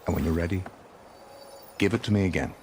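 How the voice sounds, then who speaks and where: A man's voice: deep voice, brisk, darkish tone, a little hiss or hum. An actor playing a smug, pompous older male villain in a dramatic video game scene. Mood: smooth, patronizing. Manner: pompous, theatrical, condescending.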